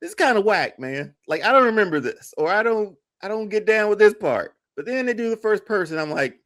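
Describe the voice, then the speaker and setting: A man's voice: sits high, expressive, fairly quick, a bit bright. A man speaking as a podcast panelist in casual, informal chat, often repeating phrases for emphasis. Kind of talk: casual chat, debating games and movies.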